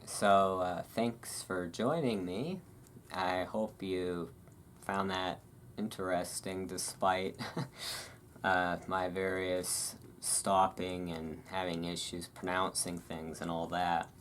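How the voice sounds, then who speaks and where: A host giving a relaxing ASMR reading of a historical article, with relaxed, informal delivery. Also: sits low, slow pace, slight background noise.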